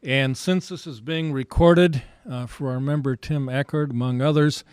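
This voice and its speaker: A man's voice: medium pitch, fairly even intonation, moderate pace, darkish tone, clean tone, dry recording. Man moderating a meeting, dry sound. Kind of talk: informal announcements to a club meeting.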